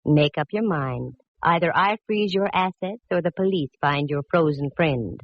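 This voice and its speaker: An actress playing a sharp-witted, wisecracking female private eye in old radio detective drama, with a wry, brisk, hard-boiled delivery. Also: sits low. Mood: dry, brisk. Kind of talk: 1940s-50s radio detective acting and narration. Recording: dull vintage radio recording with music.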